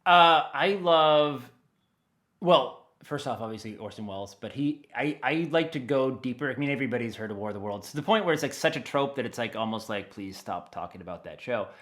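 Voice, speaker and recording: A man speaking as an audio dramatist guest in a conversational, enthusiastic interview, telling things in an animated way. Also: medium pitch, brisk.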